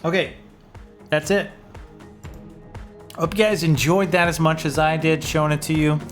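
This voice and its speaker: A man's voice: on the high side, fairly even intonation, moderate pace, faint music behind. A middle-aged man giving casual, informal commentary as a video host, rambling and thinking aloud into a close studio mic. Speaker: music producer and video host. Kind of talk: casual screen-share commentary. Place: small home studio room, indoors. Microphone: studio condenser on boom arm, close.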